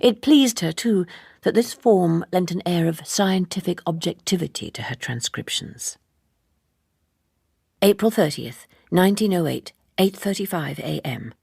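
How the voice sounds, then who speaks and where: A woman reading a literary novel as scripted narration, in a measured and precise manner. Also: lowish voice, lively intonation, gravelly, darkish tone, slightly rough, dry recording, clean studio sound. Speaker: audiobook narrator.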